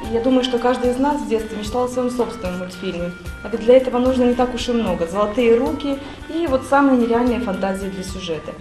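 Middle-aged female teacher giving interview answers, higher voice, quick delivery, roomy sound. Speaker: kindergarten teacher. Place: indoor classroom. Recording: echoey room with music behind.

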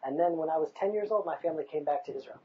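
A man's voice: on the high side, rapid-fire, dull audio. Passionate man on an outdoor overlook. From United States. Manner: passionate, emphatic, rhetorical. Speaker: interviewee. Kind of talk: outdoor interview. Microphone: lapel mic.

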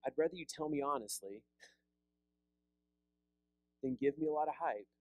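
A pastor in his thirties preaching a sermon, seated with a handheld mic, animated and conversational, often repeating words as he restarts. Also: on the high side, normal speed, dry recording. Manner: animated preaching with big hand gestures, rising loud on emphasis. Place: church fellowship hall, seated on a stool.

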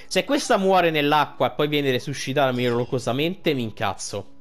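A man giving casual, informal game commentary, halting and correcting himself as he translates dialogue on the fly. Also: medium pitch, lively intonation, faint music behind. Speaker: video game streamer.

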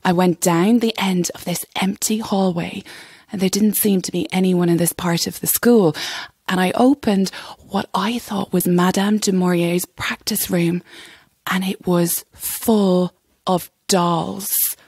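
A woman's voice: lowish voice, fairly even intonation, measured pace, a little mellow, breathy edge, no echo. An actress playing a nervy young chorus girl telling a ghost story in an audio drama, chatty and suspenseful. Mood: hushed, spooked. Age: young adult.

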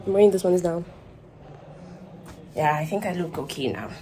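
A woman's voice: fairly low pitch, normal speed, a crowd in the background. A young woman from Namibia talking casually to her viewers in a travel vlog, chatty and full of fillers. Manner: chatty, filler-heavy, addresses viewers directly. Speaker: vlogger.

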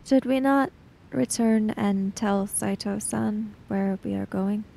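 A woman playing a tabletop role-playing game, narrating her character's actions in a hesitant, halting way. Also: medium pitch, fairly even intonation, gravelly, mellow, slight background noise.

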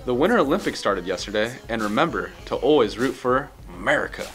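A man's voice: medium pitch, lively intonation, fast, a bit bright. A teenage boy reading upbeat scripted school news as anchor at a studio desk, with music faintly behind. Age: teens.

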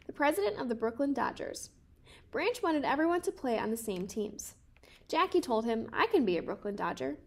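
A woman in her twenties reading a children's picture book aloud in a careful, measured way. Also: sits high. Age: 20s. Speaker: storybook reader.

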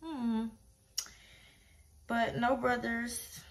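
Slow, creaky young woman from Detroit. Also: fairly high pitch, level tone. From Detroit, Michigan, USA. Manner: laid-back, slangy, blunt. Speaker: vlogger. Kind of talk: casual chat to camera. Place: small bedroom, indoors.

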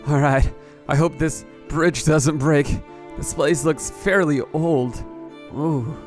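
A man playing an excitable, naive young adventurer, stammering and talking to himself as he goes through an amateur adventure story. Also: on the high side, slow, soft background music. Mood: curious, wary. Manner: talks to himself, stammering, breathlessly reactive.

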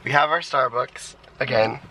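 A young man chatting and joking to his camera while riding in a car, his voice going hoarse. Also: medium pitch, normal speed, distant mic. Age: young adult. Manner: joking, self-mocking, chatty. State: losing his voice. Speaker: vlogger. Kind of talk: casual chat. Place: inside a moving car. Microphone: handheld camera mic. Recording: road noise, held away from mic.

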